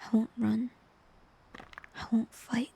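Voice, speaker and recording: A woman playing a tender, heartbroken bard facing a former lover in an intimate fantasy ASMR roleplay, speaking softly and wistfully in a breathy near-whisper. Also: fairly high pitch, level tone, a little hiss or hum. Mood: loving, resigned. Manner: slow, gentle, wistful delivery with pauses.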